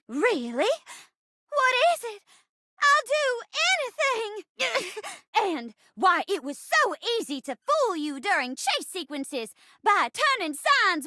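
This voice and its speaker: High, melodramatic young farm heroine. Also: female voice, pushed and bright, dry recording, studio quality, full character voice. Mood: pleading. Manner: pleading, melodramatic. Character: earnest, melodramatic young farm woman in a play. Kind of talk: stage melodrama in a game.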